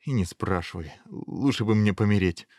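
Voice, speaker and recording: A man's voice: lowish voice, animated, normal speed, creaky, warm tone, slightly rough, no echo, clean studio sound, full character voice. Man doing a mournful, self-pitying young prince. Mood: gloomy, tearful. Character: sensitive, whiny, melancholic young prince.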